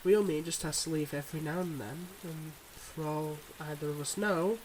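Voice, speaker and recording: A man playing a devoted but guarded mob boss boyfriend in an intimate ASMR roleplay, speaking in earnest, measured confessions. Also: on the high side, fairly even intonation, darkish tone, a little hiss or hum, lightly acted, poor audio. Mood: bittersweet, devoted.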